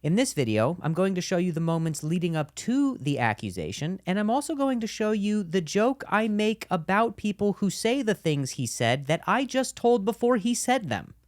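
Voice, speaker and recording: High-voiced stand-up comic with a stutter. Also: male voice, moderate pace, few pauses. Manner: animated, exasperated, escalating outbursts. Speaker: stand-up comedian. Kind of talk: stand-up comedy and video narration.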